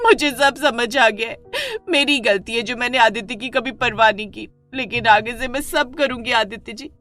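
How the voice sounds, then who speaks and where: A woman's voice: on the high side, normal speed, a bit bright, faint music behind, close to an everyday voice. A woman voicing a jealous, hot-tempered young wife in a melodramatic story, with emotional outbursts. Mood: earnest pleading. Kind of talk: melodramatic Hindi moral story acting.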